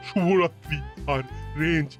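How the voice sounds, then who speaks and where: A man's voice: on the high side, steady tone, measured pace, faint music behind, close to an everyday voice. A man voicing a bossy, conspiratorial schoolteacher in a children's cartoon, speaking in an authoritative, instructing way. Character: adult male schoolteacher, bossy but conspiratorial. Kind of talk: Bengali children's cartoon voice acting.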